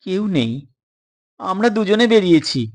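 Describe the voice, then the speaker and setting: A man's voice: sits high, big pitch swings, a little slow, pitched above the performer's own voice, exaggerated voice. A man voicing an eager but wary young boy in an audio story, plain-spoken and earnest.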